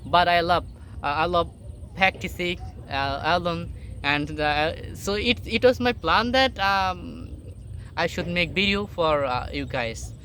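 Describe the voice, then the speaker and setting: A young Bengali-accented man with a noticeably high voice talks to his viewers in hesitant, restart-heavy English. He sits outdoors on a boat, and faint outdoor ambience and passing vehicles can be heard behind him. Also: sharp tone, a little hiss or hum. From Bangladesh. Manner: halting non-native English with many fillers and restarts. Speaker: vlogger. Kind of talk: casual motivational talk to camera. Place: sitting alone on a boat outdoors in a rural area.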